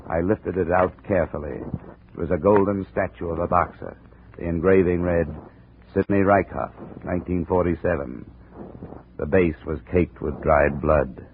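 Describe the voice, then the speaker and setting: Low-voiced, wry radio detective. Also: male voice, moderate pace. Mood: dry narration. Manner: dry, sardonic, clipped. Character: wry, hard-boiled insurance investigator narrating his cases. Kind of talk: 1950s radio detective narration and acting. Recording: narrow, noisy old radio recording.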